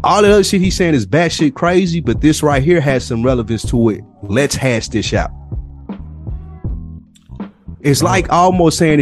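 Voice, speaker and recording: An American man co-hosting a podcast, talking casually and emphatically at length, repeating phrases to drive his opinions home. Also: medium pitch. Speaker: podcast co-host. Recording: music playing behind the voice. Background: music.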